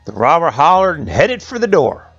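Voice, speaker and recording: A man's voice: on the high side, very animated, moderate pace, fairly bright tone, muffled recording, faint music behind. A man telling a folk tale in a folksy oral style, with rustic phrasing and conversational asides. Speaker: storyteller.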